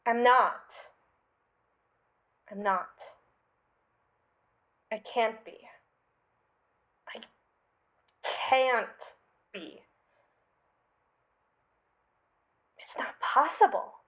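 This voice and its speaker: A woman's voice: on the high side, very slow, pauses often, fairly bright tone, muffled recording. A woman playing a haughty, sarcastic evil female robot with a pompous, wordy, theatrical manner in an audio roleplay. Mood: flustered denial. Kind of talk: ASMR audio roleplay monologue.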